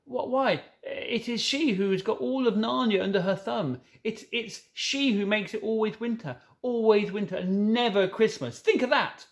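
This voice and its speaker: Anxious male fawn, storybook fantasy, stammering, self-reproaching, tearful, raised pitch, acted by a man. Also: moderate pace, few pauses. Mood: wretched confession.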